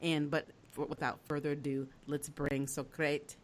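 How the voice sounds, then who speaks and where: A woman's voice: deep voice, level tone, normal speed. A woman co-hosting a casual podcast, chatty and bubbly, laughing easily as she introduces and questions a guest. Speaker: podcast co-host.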